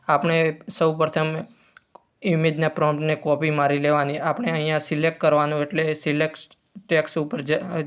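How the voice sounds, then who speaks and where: A man's voice: a little high, clean tone. A man hosting an informal step-by-step tutorial, using repetitive instructional phrasing. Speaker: tutorial host.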